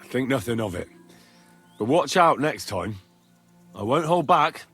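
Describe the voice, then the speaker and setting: Husky, loyal young squire. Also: male voice, medium pitch, lively intonation, measured pace, fairly bright tone, soft background music, exaggerated voice. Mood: companionable. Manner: earnest, plain-spoken. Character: loyal, plain-spoken young blacksmith serving as page. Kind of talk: video game cutscene acting.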